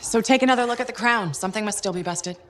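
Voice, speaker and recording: A woman's voice: mid-range voice, fast talker, fairly bright tone, natural voice. An actress playing a confident, loyal female freelancer pilot in a video game drama, direct and questioning. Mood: reassuring.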